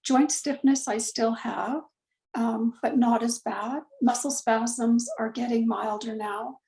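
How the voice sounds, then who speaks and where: A woman with a gravelly voice talking casually over a video call, full of fillers and self-corrections. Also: on the high side, fairly even intonation, slow pace, warm tone, roomy sound, rough recording. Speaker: client giving a testimonial. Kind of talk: casual conversational testimonial.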